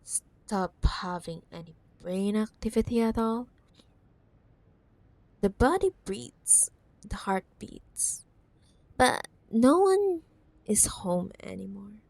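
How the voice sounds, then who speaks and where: Female actor for obsessive corporate villainess ex in ASMR drama; teasing, mock-sweet menace, unhurried delivery, very dry sound. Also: mid-range voice, lively intonation, creaky, darkish tone, dry recording, exaggerated voice. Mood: ominous, mock-pitying. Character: possessive, menacing, sweetly condescending corporate CEO ex-girlfriend. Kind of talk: roleplay ASMR audio drama.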